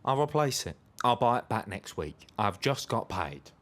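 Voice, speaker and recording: An actor playing a drunk, defensive young British gambler, pleading urgently in a naturalistic audio drama. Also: male voice, medium pitch, sing-song, normal speed, a bit bright, slight background noise.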